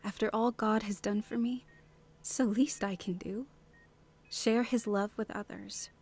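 A woman's voice: mid-range voice, steady tone, unhurried, darkish tone, breathy edge. An actress playing an earnest, devout wife in a radio drama, acting and narrating her heartfelt testimony. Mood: gentle, assured.

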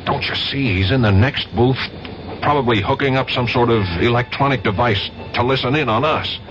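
An actor with an American accent playing a tough, wisecracking, wary federal detective in a 1970s radio drama. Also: male voice, mid-range voice, normal speed, close to an everyday voice. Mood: tense, urgent. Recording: muffled, hissy 1970s radio recording.

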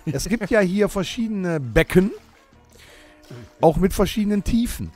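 A middle-aged man from Germany co-hosting a podcast, teasing and joking in a gravelly, husky voice. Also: sits high, expressive, unhurried, a little mellow, soft background music. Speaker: podcast co-host. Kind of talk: casual chat in a staged holiday audio play.

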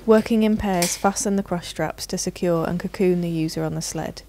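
A woman reads scripted instructional narration in a measured, formal, clearly enunciated manner. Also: on the low side, mellow, a little hiss or hum. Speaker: narrator of a product training video.

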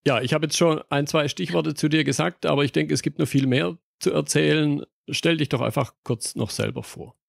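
Gravelly-voiced man hosting a podcast. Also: on the high side, brisk, hardly any pauses, no room sound, studio quality. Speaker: podcast host. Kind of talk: scripted podcast intro, then interview.

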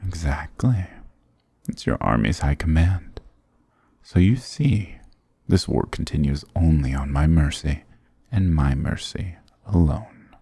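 A man's voice: animated, slow pace, mellow, no echo, heavily acted. A man voicing a dominant, sarcastic demon king in an ASMR roleplay, teasing and menacing, close to the mic. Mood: smug, commanding. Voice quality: very deep, gravelly, husky. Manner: sarcastic, teasing, menacing purr with low chuckles. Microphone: close, dry microphone.

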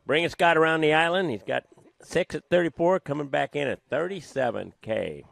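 A man announcing skier passes and official scores in a measured, matter-of-fact way. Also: fairly high pitch, expressive, gravelly, fairly bright tone, no echo. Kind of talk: live sports announcing.

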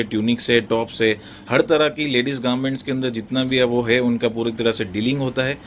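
A man's voice: mid-range voice, slight background noise. A man hosting a business interview for his channel, speaking politely and turning often to the viewers with "right, right". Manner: polite, repeats "right, right", addresses viewers directly.

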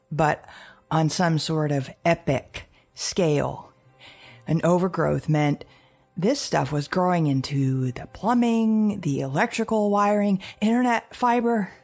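A husky, haunted survivor mother. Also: low voice, soft background music, full character voice. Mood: uneasy, explaining. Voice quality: husky, gravelly. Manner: halting, stammering, repeating words. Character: anxious, guilt-ridden mother surviving alone after an apocalypse. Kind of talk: intimate audio drama monologue.

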